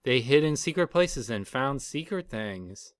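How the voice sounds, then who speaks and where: A man's voice: mid-range voice, lively intonation, measured pace, smooth, no room sound, clean studio sound. A man reading a children's storybook aloud as the storyteller.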